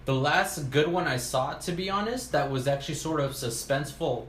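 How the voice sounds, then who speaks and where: A man's voice: on the high side, normal speed, a bit bright. A man co-hosting a casual podcast with friends, rambling and swearing freely, a little tipsy from drinking. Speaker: podcast co-host. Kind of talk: casual chat among friends. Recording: echoey room with faint ambient noise.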